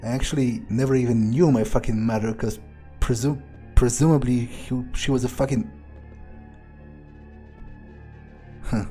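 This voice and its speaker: A man's voice: medium pitch, fairly even intonation, fairly quick, mellow, soft background music, natural voice. A man playing a cynical, foul-mouthed vampire in a video game, muttering impatiently as he thinks aloud. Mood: irritated, cynical. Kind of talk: in-character video game playthrough monologue.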